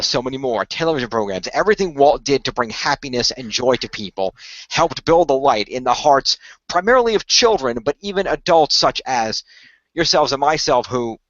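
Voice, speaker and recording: A man improvising the part of an earnest company insider, explaining things in a measured, hesitant way as a game master. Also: medium pitch, lively intonation, a bit bright, dry recording, dull audio. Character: earnest, measured company insider revealing a secret magical order. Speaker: game master playing a character. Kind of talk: improvised in-character exposition for a role-play story.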